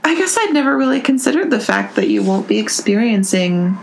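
A woman's voice: medium pitch, very animated, gravelly, slight background noise. A woman from New York co-hosting an arts podcast, easygoing and agreeable in casual chat. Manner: easygoing, agreeable, quick to laugh. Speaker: podcast co-host and interviewer.

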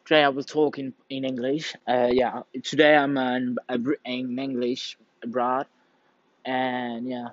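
A man's voice: mid-range voice, flat delivery, no echo. A young man from Portugal with a Portuguese accent, talking casually to camera in English, his second language, often stopping to search for words. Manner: halting, searching for words, many restarts. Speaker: vlogger. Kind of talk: casual rambling monologue. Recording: dull lo-fi dry sound.

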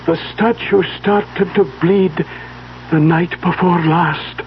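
A formal, hesitant manservant in a vintage radio play, pitched high. He speaks with careful deference. The old recording is dull and faintly humming. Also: fairly even intonation, slow pace, noisy background. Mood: grave, reluctant. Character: deferential, flustered male household servant bearing bad news. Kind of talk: 1970s radio drama acting.

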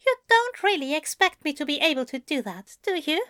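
Very high, prim, flustered angel played by a woman. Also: sing-song, a little fast, pushed and bright, no room sound, studio quality, exaggerated voice. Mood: doubtful. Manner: prim, hesitant, apologetic, polite. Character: fussy, flustered, self-deprecating angel man, a reluctant yoga pupil. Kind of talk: audio fiction character acting.

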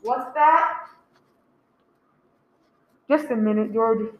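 Curt criminal go-between, played by a woman: high-ish, businesslike, radio drama, dull echoey sound. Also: drawn-out words. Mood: cold, instructing. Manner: curt, instructing. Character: cold, businesslike male criminal boss giving orders. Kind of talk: radio play acting. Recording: dull, echoey room recording.

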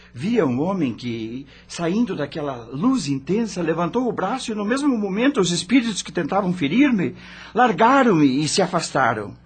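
A man's voice: very high voice, sharp tone, husky, heavily acted. An actor playing an anguished dead rock star who narrates his own suffering dramatically in a radio drama. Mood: weary, fearful.

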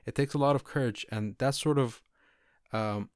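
Host, woman; exceptionally deep pitch, dry close sound; encouraging, asks follow-ups. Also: normal speed, a little mellow, dry recording. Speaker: podcast host. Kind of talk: casual interview conversation.

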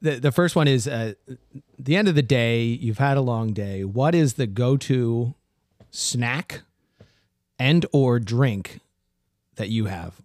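Male co-host, mid-pitched, relaxed, hesitant, dry close sound. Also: takes it slowly, no room sound. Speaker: podcast co-host. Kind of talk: casual interview questions.

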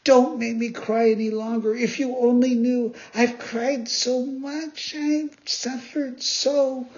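A man voicing an impulsive wooden puppet boy who pleads and stammers in a high, whining voice. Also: normal speed, natural voice. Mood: tearful. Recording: dull lo-fi recording.

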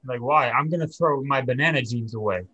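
A man's voice: medium pitch, fairly quick, pushed and bright, muffled recording. A young man casually telling an anecdote to friends as a podcast co-host.

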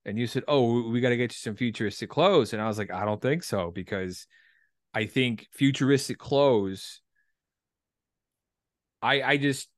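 A man hosts a casual podcast in a rather low-to-middle voice. He rambles and hesitates, poking fun at himself. The sound is close and dry, with no room around it. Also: dry recording. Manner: hesitant, rambling, self-deprecating. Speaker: podcast host. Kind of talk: casual chat.

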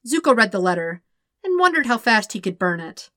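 Bright-voiced woman narrating fiction. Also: fairly high pitch, sing-song, brisk, no room sound, studio quality. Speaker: podfic narrator. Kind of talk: expressive scripted fiction narration.

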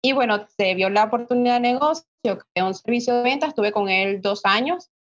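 Venezuelan woman, higher voice, dull audio. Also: a little fast. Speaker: podcast guest, online marketer. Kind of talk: casual conversational interview. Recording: dull, lo-fi online call audio.

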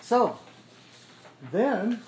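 A man's voice: sits high, very animated, extremely slow, background noise. A man leading a small-group Bible study, explaining word meanings conversationally and often checking in with "okay?" and "right?".

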